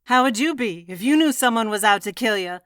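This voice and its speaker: A woman's voice: on the high side, animated, a little fast, a bit bright, smooth. A woman playing a breezy, forthright miss in a radio mystery drama. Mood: sympathetic.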